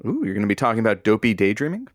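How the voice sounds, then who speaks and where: A man's voice with very animated pitch plays an upbeat radio producer. He is breezy, chummy and prodding, in casual radio drama banter, recorded close and dry. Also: very fast, no echo. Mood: teasing, prodding. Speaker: radio show producer announcing callers.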